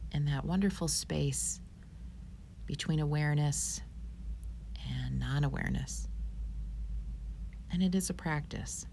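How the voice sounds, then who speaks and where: A woman teaching yoga, giving guided meditation instruction in a calm, soothing, unhurried manner. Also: sits low, gravelly, a little hiss or hum.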